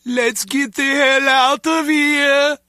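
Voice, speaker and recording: Griping nervous henchman in a game, high forceful voice. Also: steady tone, slow pace, no echo, studio quality, full character voice. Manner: complaining, exasperated. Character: grumbling, anxious male henchman fed up with his post. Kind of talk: video game voice acting.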